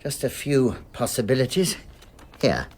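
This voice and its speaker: Animated, genial older British man. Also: mid-range voice, unhurried. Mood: understated. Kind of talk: radio drama acting.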